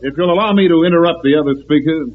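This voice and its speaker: An actor playing a blunt, forthright newspaper reporter in an old-time radio drama. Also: male voice, on the high side, rapid-fire, natural voice. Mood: blunt, indignant. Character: blunt, discouraging newspaper reporter, secretly undercover. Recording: muffled, hissy old radio recording.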